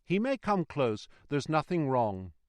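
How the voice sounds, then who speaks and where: A man voicing an anxious, genteel gentleman passenger in a storybook reading, polite and mildly quavering. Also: a little high, sing-song, measured pace, dry recording. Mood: reassuring.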